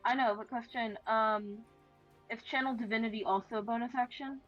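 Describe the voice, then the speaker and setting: A woman's voice: on the high side, steady tone, fairly quick, fairly bright tone. Woman player at a game table, unsure and asking stuff, muffled mic, faint music. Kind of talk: casual game-table chat.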